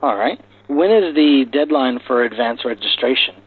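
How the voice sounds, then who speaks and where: A man's voice: medium pitch, lively intonation, normal speed, husky. Man interviewing over narrow, lo-fi audio. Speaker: podcast host, interviewer. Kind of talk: casual interview questioning. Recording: lo-fi narrowband podcast call audio.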